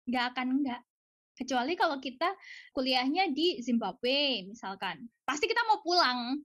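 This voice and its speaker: A woman's voice: high-pitched, very animated, moderate pace, fairly bright tone, no echo, clean studio sound. A woman chatting casually and emphatically as an interview guest, outspoken, with rhetorical questions and the odd English phrase. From Indonesia. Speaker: podcast guest, researcher living in Japan. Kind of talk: casual, opinionated interview chat.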